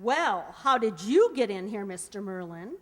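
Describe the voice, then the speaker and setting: A woman's voice: mid-range voice, sing-song, normal speed, slight background noise, lightly acted. A woman acting a feisty, wisecracking young working woman in a radio comedy play, brash, slangy and emphatic. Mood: weary, resigned. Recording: echoey hall.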